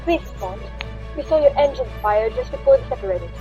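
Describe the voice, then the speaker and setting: A woman's voice: high-pitched, expressive, fairly quick, dark tone, dull audio, soft background music. A woman playing a formal starship captain sending an official hail in a sci-fi radio play. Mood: official, concerned. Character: formal female starship captain hailing over the comms. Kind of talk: science fiction radio play acting.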